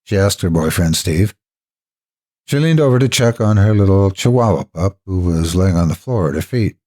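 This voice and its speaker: A man narrating scripted horror with deliberate, theatrical phrasing, as a storyteller and host. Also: low voice, lively intonation, a little mellow. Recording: music behind the voice. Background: background music.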